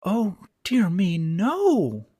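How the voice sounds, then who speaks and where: A man's voice: wildly swooping pitch, extremely slow, dark tone, breathy edge, no echo, clean studio sound, full character voice. A man voicing a monstrous spider-woman who poses as a sweet, coaxing captive with genteel speech, in a horror audio story. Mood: soothing. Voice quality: high, sweet. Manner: supplicating, coaxing, genteel old-fashioned speech. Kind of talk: horror audio fiction reading.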